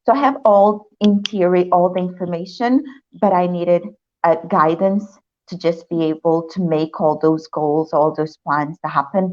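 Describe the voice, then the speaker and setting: A woman's voice: fairly low pitch, moderate pace, darkish tone, lo-fi sound. A young woman from Africa, raised in Portugal, speaking natively, reflecting earnestly as a guest in a testimonial interview. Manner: earnest, reflective, with many restarts. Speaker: program client, guest.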